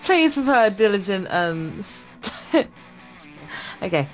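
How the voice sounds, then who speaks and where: A woman's voice: mid-range voice, sing-song, takes it slowly, soft background music, poor audio. A young woman co-hosting a let's play, reading visual novel text aloud with playful, casual banter.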